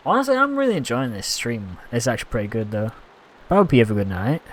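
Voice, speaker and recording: A man's voice: mid-range voice, very animated, quick pace, a little hiss or hum. A Scottish man chatting casually and rambling as he streams a game, reacting aloud to what happens on screen. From Scotland. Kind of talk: casual live-stream commentary.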